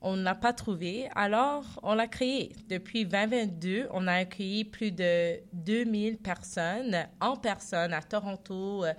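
A woman's voice: mid-range voice, a little slow, hardly any pauses, a little hiss or hum. A woman from Montreal whose first language is not French, speaking as a founder guest in a radio interview, confident with occasional hesitations.

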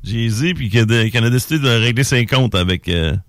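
A man's voice: mid-range voice, lively intonation, a little fast, no room sound. A man in his forties with a Québécois French accent, hosting a show in a colloquial, teasing, chatty way. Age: 40s. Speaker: radio show host. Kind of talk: casual chat with co-hosts.

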